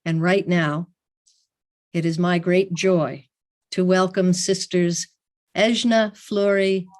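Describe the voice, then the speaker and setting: A woman hosting an online gathering, welcoming guests with slow, gracious, affectionate phrasing. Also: lowish voice, steady tone, a little mellow. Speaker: host. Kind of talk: warm formal welcome on video call. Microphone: video call.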